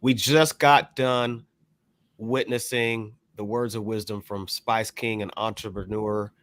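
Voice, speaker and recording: A man's voice: lowish voice, steady tone, takes it slowly, a bit bright, no echo. A man chatting as a panelist on a podcast, wordy and affirming, often repeating short phrases. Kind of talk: casual panel chat.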